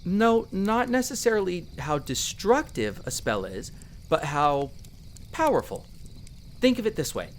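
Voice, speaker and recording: Man playing lively, friendly young elf explorer. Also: high voice, measured pace, slight background noise. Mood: earnest, explanatory. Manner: chatty, patiently explanatory, playful. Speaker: character in a roleplay audio drama. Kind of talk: in-character conversational roleplay addressed to the listener.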